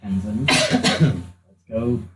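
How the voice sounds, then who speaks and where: A man's voice: medium pitch, lively intonation, very slow, sharp tone. A man playing a tabletop game, talking casually, at times in character. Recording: echoey room with faint noise.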